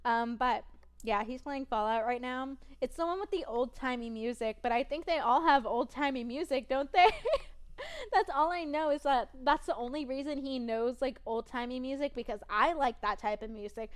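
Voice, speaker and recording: A young woman streaming and chatting casually, rambling and giggling, with a naturally soft voice that is tired from long streaming. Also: high-pitched, animated, moderate pace. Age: young adult.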